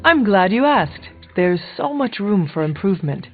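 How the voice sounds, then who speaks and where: Earnest old-timey warrior, formal n literal, video game, thin muffled audio, faint music. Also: female voice, mid-range voice, sing-song, normal speed. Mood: eager, critical. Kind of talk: video game dialogue acting.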